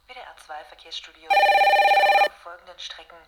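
A woman's voice: very high voice, wildly swooping pitch, normal speed, loud music behind the voice, heavily acted. A woman playing a radio traffic announcer, reading a bulletin in a formal news style, heard as a radio in the scene. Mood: brisk, informative. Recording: very noisy radio playing in background.

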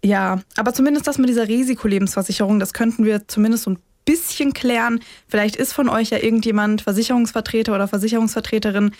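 A woman's voice: fairly high pitch, steady tone, quick pace, few pauses, darkish tone, no room sound, studio quality. A woman co-hosting a true-crime podcast in a casual, colloquial conversation. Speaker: podcast co-host.

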